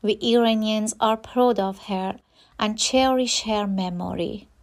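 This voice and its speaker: A woman from Iran reads her prepared talk at an unhurried pace. Her delivery is deliberate, as if she is placing each word with care. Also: medium pitch, fairly even intonation. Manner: slow, deliberate reading. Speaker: ESOL student. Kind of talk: reading a prepared short talk.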